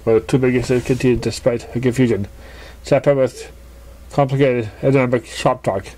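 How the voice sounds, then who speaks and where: A narrator reads a scripted piece of fan fiction aloud. The voice sits at an in-between pitch as it tells the story. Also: steady tone, brisk, warm tone, a little hiss or hum.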